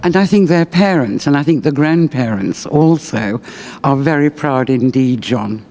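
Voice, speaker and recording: A man's voice: fairly high pitch, expressive, measured pace, creaky, darkish tone. A man speaking as an interviewer in a slightly formal, prepared way.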